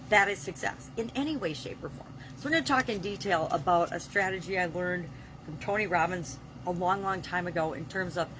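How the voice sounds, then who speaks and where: Over clear, vehicle-like background noise, a woman speaks in a slightly low voice. She talks off the cuff like a motivational coach, stressing her opinions and repeating phrases to drive them home. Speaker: vlog host and business coach. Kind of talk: unscripted motivational vlog talk.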